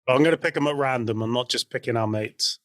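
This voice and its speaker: A man's voice: medium pitch, fast talker, fairly bright tone, dry recording, clean studio sound. Chatty man co-hosting a podcast. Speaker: podcast co-host. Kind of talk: casual podcast chat.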